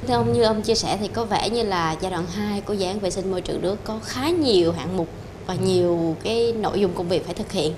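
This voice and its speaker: A woman's voice: mid-range voice, fairly quick, noisy background. A young woman hosting a formal interview, asking polite, deferential questions. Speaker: interviewer host. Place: studio office set. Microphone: handheld mic.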